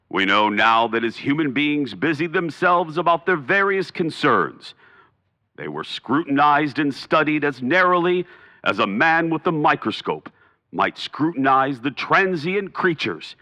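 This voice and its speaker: A man narrating a radio drama as host, in a grand, deliberate, theatrical delivery. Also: medium pitch, sharp tone. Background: music behind the voice.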